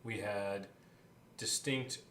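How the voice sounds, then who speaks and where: A man with a bright, forceful voice talks through things casually as a co-host. The sound is roomy and slightly distant from the microphone. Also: on the low side, fairly even intonation, drawn-out words. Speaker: co-host and player. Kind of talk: casual discussion. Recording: roomy, distant mic with faint noise.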